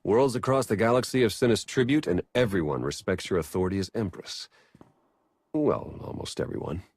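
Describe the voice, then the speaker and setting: Wry spymaster advisor, expressive lowish voice. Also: male voice, brisk, slightly rough. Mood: dry, reporting. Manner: dry, casual, teasing. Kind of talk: video game cinematic acting.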